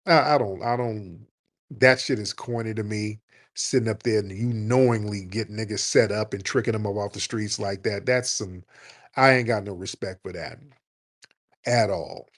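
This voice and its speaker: A man hosting a call-in show, talking in a blunt, profane and animated way and sometimes mocking people in a high put-on voice. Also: normal speed. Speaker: host.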